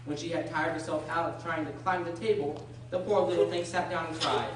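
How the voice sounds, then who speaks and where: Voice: medium pitch, fairly quick, natural voice. A performer playing a storybook narrator, reading a scripted radio drama aloud in a storytelling manner. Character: storybook narrator of a children's tale. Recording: very echoey, distant, noisy with clicks.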